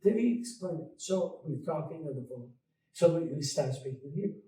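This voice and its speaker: An older man, a guest cantor, reminiscing in a halting, gentle way with many restarts, heard on an online call. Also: fairly high pitch, lively intonation, echoey room, far from the mic, poor audio. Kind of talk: casual reminiscing conversation.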